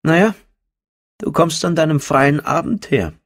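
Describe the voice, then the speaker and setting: A man's voice: fairly high pitch, measured pace, pitched above the performer's own voice. A man voicing a teasing, slightly spiteful female bartender in an audiobook, with a knowing, needling manner. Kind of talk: audiobook character dialogue.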